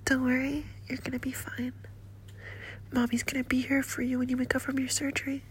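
A woman's voice: high-pitched, monotone, a little hiss or hum, close to an everyday voice. A woman as a whispering, doting nurse. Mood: whispery, doting. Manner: soft breathy whisper, slow and coaxing. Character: doting, flirtatious female nurse, motherly and teasing. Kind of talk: whispered ASMR roleplay.

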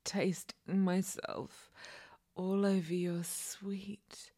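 A woman voicing a possessive, sadistic dominant woman in an audio roleplay, whispering breathily with sighs and low laughs. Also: little pitch movement, extremely slow, mellow. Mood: possessive, menacing.

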